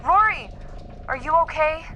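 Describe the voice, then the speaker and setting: A woman's voice: sits high, animated, a little slow, pushed and bright, a little hiss or hum, full character voice. A woman playing a determined, worried mother who is a space scientist in an audio drama. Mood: anxious.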